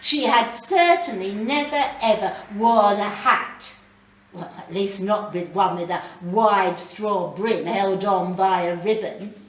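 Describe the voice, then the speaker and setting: A British woman reading a short story aloud in a dramatic, deliberate way. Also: mid-range voice. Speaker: story reader. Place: live reading in a library. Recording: roomy, distant mic, faint background noise.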